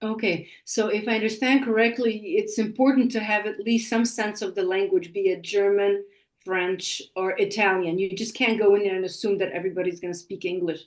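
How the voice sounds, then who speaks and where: A woman hosts an interview over a video call. She phrases her questions haltingly, with lots of restarts, at a middle pitch. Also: fairly even intonation, rough recording. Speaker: podcast host.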